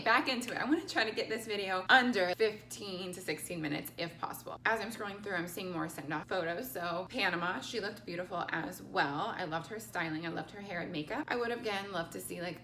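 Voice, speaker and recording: A young woman vlogging casually to camera as a pageant coach, gushing and animated with lots of "like" and "I loved". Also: medium pitch, creaky, roomy sound, a little hiss or hum. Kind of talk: casual chatty commentary to camera.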